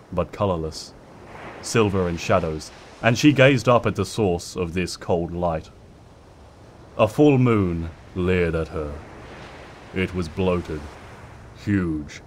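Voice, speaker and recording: A man's voice: sits low, a little hiss or hum. A man reading fiction aloud as a narrator, in a dramatic scripted storytelling style. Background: ambient sound effects.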